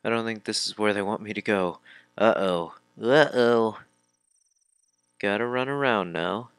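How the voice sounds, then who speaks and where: A man's voice: on the low side, moderate pace, fairly bright tone, smooth, no room sound. A man giving casual let's play commentary, reading dialogue aloud and muttering informal asides to himself. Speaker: video game let's player.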